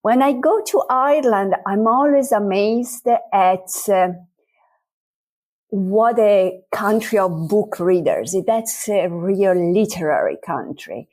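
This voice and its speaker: A woman talks about her writing in an interview. She speaks slowly and thoughtfully, filling her pauses with soft fillers. Her pitch sits in the middle range. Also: expressive. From Italy. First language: Italian. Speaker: author guest. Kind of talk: interview conversation.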